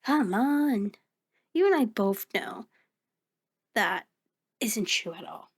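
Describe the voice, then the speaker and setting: A woman voicing a kind, playful mirror reflection in an ASMR roleplay, speaking gently and encouragingly with hesitant pauses. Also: mid-range voice, animated, slightly rough. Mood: calm, frank. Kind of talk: ASMR roleplay, addressing the listener.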